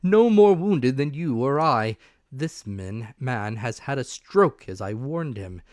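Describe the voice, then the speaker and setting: A man voicing a brusque, commanding country doctor who speaks emphatically and deliberately in a storybook reading. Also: medium pitch, expressive, a little mellow, dry recording, studio quality, pitched above the performer's own voice. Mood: brisk, dismissive.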